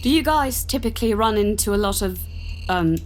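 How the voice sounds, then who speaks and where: A woman's voice: lively intonation, brisk, close to an everyday voice, rough recording. A woman playing a nervous, hesitant newcomer to a smuggling crew in a sci-fi audio drama. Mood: uneasy. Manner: hesitant, questioning. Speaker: voice actor. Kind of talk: full-cast audio drama acting. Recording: loud music bed, vehicle rumble.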